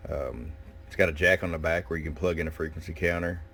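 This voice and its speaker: A man giving a casual, hands-on product review in a folksy, unhurried way with pauses. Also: rumbling low, steady tone, a bit bright. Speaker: shop owner presenting product. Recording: clear background noise and room ambience. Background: background noise.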